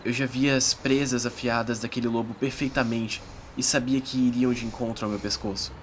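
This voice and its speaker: A man's voice: medium pitch, fairly even intonation, brisk, slight background noise, natural voice. A man playing an earnest young adventurer, narrating his perils in a dramatic, suspenseful first-person audiobook style. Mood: tense, awed. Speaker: narrator and protagonist. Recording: loud music bed under voice. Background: music.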